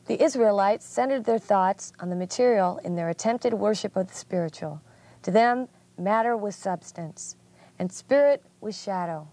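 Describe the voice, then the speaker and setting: A woman reading religious text aloud as a guest reader, in a measured, earnest way. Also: mid-range voice, darkish tone.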